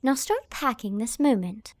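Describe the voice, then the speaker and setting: A woman's voice: on the high side, expressive, measured pace, darkish tone, no room sound. A woman playing a breezy, strong-willed young woman. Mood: bossy. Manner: breezy, commanding, dismissive. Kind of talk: audio drama acting.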